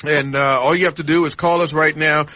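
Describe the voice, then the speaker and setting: A man hosting live radio, chatting casually and making a call-in pitch in a studio. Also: fairly even intonation, fairly quick, dull audio, crowd noise. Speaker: radio host.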